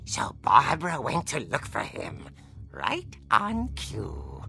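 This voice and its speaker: A woman's voice: a bass voice, huge pitch swings, creaky, sharp tone, faint music behind, heavily acted. A woman voicing a ghoulish old storyteller from a horror comic in a video game, theatrical and gleefully macabre. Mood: ominous. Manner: theatrical, drawn-out delivery. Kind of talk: campy horror narration.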